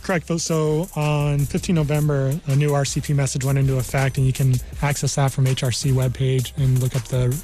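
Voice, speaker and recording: An American man, a guest on a radio interview, explaining things in a measured, halting way. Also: mid-range voice, little pitch movement, warm tone. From New Mexico, United States. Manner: halting, measured, repeats "you know". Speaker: guest, army career counselor. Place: radio studio. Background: music.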